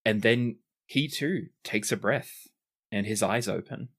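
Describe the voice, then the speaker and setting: A man narrating a tabletop role-playing game as its game master. His voice sits a little low, and he talks in a relaxed way with fillers now and then. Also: a little slow, slightly rough.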